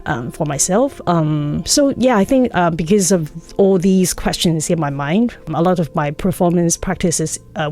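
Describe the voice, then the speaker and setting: A middle-aged woman speaks in a fairly low voice with a Hong Kong accent. She is an interview guest, reflecting in a halting way as she looks for the right words. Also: warm tone. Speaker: guest, performance artist.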